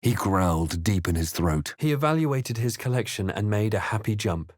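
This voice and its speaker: A close, dry recording of a fantasy hero from a video game. His voice sits a little low and he speaks deliberately, like a storyteller, with a wary and quietly wry edge. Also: lively intonation, no room sound. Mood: grave, intent. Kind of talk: video game voice lines, dramatic narration and muttered asides.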